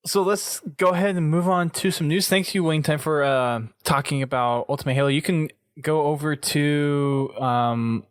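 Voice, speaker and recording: A man's voice: on the high side, normal speed, hardly any pauses, clean tone, no room sound, clean studio sound. A man hosting a gaming podcast in a casual, conversational and enthusiastic way. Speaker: podcast host.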